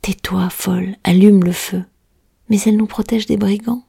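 Woman whispering jealous scheming girls, folk tale, conspiratorial hiss, gravelly fry, dark tone. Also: mid-range voice, steady tone, moderate pace, no echo, studio quality. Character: band of jealous, scheming young women.

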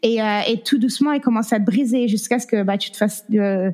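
A woman's voice: a little high, a little fast, mellow. A woman in her thirties telling her own story in an interview, hesitant and full of fillers, with English words slipping in. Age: 30s. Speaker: guest sharing her story. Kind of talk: candid personal testimony.

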